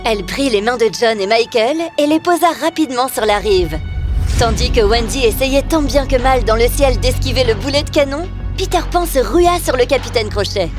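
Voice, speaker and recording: A woman's voice: on the high side, animated, normal speed, music in the background. A woman reading a scripted fairy tale as a narrator for children.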